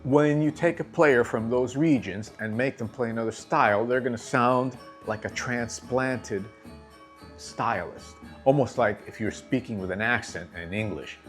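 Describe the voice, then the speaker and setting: A male drum instructor talks through his ideas in an easygoing, conversational manner. He poses rhetorical questions and checks in with his listeners. His voice sits at a middle pitch, with faint music in the background. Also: a little slow. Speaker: drum teacher. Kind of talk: instructional talk to camera.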